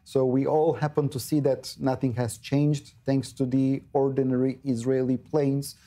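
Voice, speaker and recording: Male expert guest, Turkish accent, dark mellow tone, earnest studio analysis. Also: medium pitch, flat delivery, normal speed. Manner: earnest, many fillers and restarts. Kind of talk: studio news interview. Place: TV news studio.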